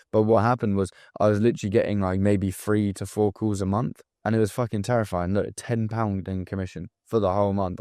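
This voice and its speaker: A man in his twenties from England with a southern English accent, talking straight to camera as a sales coach in a blunt, profane, hyped-up motivational pitch. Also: dark tone, no echo, clean studio sound. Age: 20s. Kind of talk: direct-to-camera pitch video.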